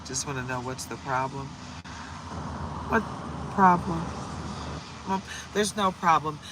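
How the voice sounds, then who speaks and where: A hoarse woman from the United States doing a casual, upbeat tarot livestream and greeting viewers by name. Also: low-pitched, lively intonation, slow, noisy background. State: hoarse from shouting. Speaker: tarot reader.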